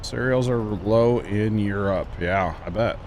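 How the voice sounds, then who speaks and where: A man's voice: on the low side, measured pace, darkish tone, a little hiss or hum. A man in his mid-thirties from Missouri, chatting casually and teasing friends while streaming a farming game. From Missouri, USA. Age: 30s. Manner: joking, teasing, chatty with lots of fillers. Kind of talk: casual livestream chat while gaming.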